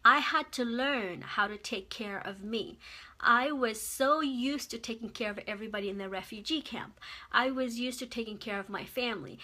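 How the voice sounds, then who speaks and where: A young woman from Mozambique speaks to an online group in a bright, ringing voice that sits a little high. She talks earnestly and from the heart, repeating phrases to drive them home, like a guest speaker on a phone or webcam livestream. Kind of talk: motivational talk.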